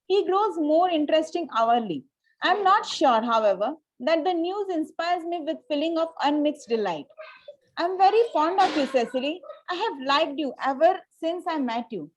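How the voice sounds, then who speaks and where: High voiced woman acting a posh-mannered young victorian lady, haughty n gushy, stage play read-through. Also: expressive, normal speed, poor audio. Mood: coolly polite. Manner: formal, pronouncing, self-assured. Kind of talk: amateur play table read.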